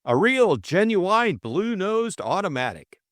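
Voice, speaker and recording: Guy putting on a cocky young english gent in a mystery story, flippant, pitch swooping higher. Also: sing-song, takes it slowly, a bit bright, no room sound, clean studio sound, exaggerated voice. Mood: jaunty pride. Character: breezy, jaunty young English gentleman adventurer, drily boastful.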